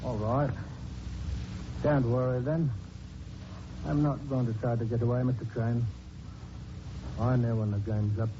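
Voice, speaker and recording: An actor playing a big, strong police sergeant who is secretly a mad strangler, halting and menacing, in 1940s radio drama style. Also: male voice, mid-range voice, steady tone, lightly acted. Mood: resigned, cornered. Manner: halting, brooding, turning menacing. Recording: dull noisy 1940s radio recording.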